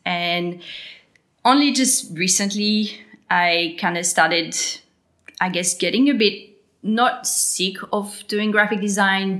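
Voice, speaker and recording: A woman's voice: lowish voice, pushed and bright. A woman in her thirties from New Caledonia with a French accent, chatting casually as a podcast guest and laughing often. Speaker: podcast guest, graphic designer. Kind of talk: casual interview conversation.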